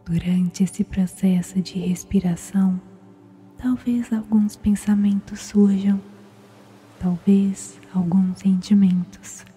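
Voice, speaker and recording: A woman with a Brazilian accent softly whispers a guided sleep meditation in a slow, soothing, gentle manner. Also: mid-range voice, fairly even intonation. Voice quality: breathy, whispery. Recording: music behind the voice. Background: meditation music.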